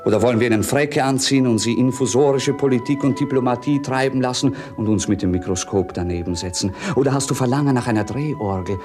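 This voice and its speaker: A man's voice: medium pitch, fast, few pauses, close to an everyday voice. Whimsical young prince played by a man, music behind. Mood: dreamy, playful. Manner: lyrical, playful, theatrical. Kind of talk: 1950s German radio play acting. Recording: 1950s radio play recording with music.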